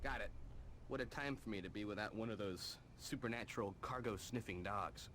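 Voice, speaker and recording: A young American man playing a goofy, joking sidekick in a video game, animated and excitable. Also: lowish voice, fairly quick, background noise, natural voice. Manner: jokey, animated. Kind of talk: video game cutscene acting. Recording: music under the voice.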